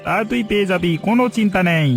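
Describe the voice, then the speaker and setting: A man's voice: high-pitched, fast talker, creaky, soft background music. A man voicing a sly tempter in a village cartoon, coaxing and persuading like one luring youths into shady work. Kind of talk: melodramatic cartoon voice acting.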